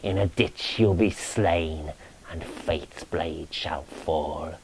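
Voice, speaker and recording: A man's voice: a bass voice, takes it slowly, darkish tone, a little hiss or hum, voice pushed down, full character voice, rough recording. A man voicing a grisly, woeful ghost who solemnly prophesies doom in a dramatic reading of medieval romance verse. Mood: doom-laden, woeful. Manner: solemn, incantatory, moaning, preaching.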